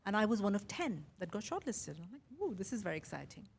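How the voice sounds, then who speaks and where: A middle-aged woman with an Indian accent, a mix of Mumbai, Kerala and London, telling a personal story in a chatty, animated way as a podcast host. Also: mid-range voice, quick pace, gravelly, breathy edge. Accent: Indian English, Mumbai and Kerala with London influence. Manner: chatty, animated, quotes her own thoughts.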